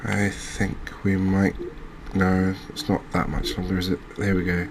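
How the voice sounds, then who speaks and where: A man giving quiet, unscripted ASMR-style game commentary, unhurried and thinking aloud with pauses. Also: deep voice, monotone, background noise. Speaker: gaming video host.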